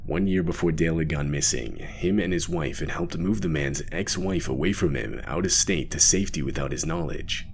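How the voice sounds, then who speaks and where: Extremely deep, gravelly male narrator. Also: moderate pace, hardly any pauses, background noise. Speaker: true crime mystery narrator. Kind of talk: scripted documentary-style narration.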